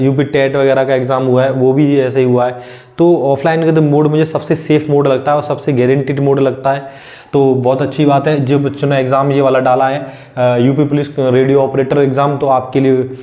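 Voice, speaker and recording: A man's voice: medium pitch, darkish tone. A young man gives informal, unscripted advice to students, often repeating a filler phrase and restating his points. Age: young adult. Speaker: exam news YouTuber. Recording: dull lo-fi microphone sound.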